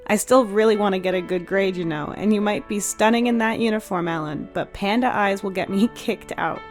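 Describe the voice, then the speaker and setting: A woman's voice: medium pitch, a little fast, lightly acted. Woman voicing a tender, playful young sister over music. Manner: soft-spoken, reassuring, teasing. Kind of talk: dramatic audiobook character dialogue. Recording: music behind the voice.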